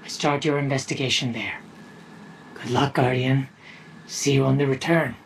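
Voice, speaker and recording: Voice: mid-range voice, steady tone, normal speed, slight background noise, close to an everyday voice. A performer playing a friendly, focused quest-giver in a video game, briefing an ally in an earnest, conversational way. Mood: welcoming, earnest.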